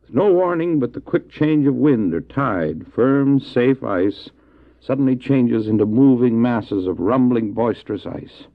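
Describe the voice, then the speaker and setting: Kindly missionary doctor, audio drama. Folksy, lively storyteller, dry close sound on thin cassette. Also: male voice, medium pitch, unhurried, dry recording, phone-quality sound. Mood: warmly reminiscing. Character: kindly, unhurried missionary doctor and sled-dog owner in Labrador. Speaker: actor, storyteller within the drama. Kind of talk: radio drama acting, storytelling.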